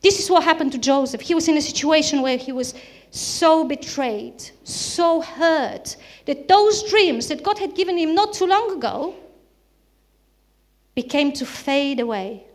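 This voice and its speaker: A woman's voice: high voice, expressive, unhurried. A woman from Bulgaria, a native Bulgarian speaker with a Bulgarian accent, preaching a sermon in an earnest style with repeated emphatic phrases.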